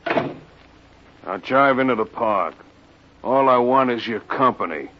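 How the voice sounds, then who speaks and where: An actor playing a coolly threatening hoodlum in an old-time radio crime drama, talking in curt slang. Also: male voice, medium pitch, slow pace, natural voice. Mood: menacing. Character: menacing, unhurried male stickup thug in fake uniform. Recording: muffled old radio recording, faint noise.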